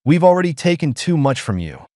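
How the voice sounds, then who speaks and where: A weary alien mother, acted out by a male narrator, speaks in measured, formal phrases. She is proud, gentle and firm, in a dry, close audiobook sound with lively pitch movement. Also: no echo. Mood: accusing, defiant. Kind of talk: audiobook character dialogue.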